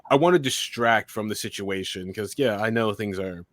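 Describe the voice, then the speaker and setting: A man speaks in a flat, monotone way, his voice sitting a little low. The recording is dry and close, with no room sound around him. He answers interview questions in a relaxed, conversational manner. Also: normal speed, dry recording. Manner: monotone, steady delivery. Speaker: guest filmmaker and commentator.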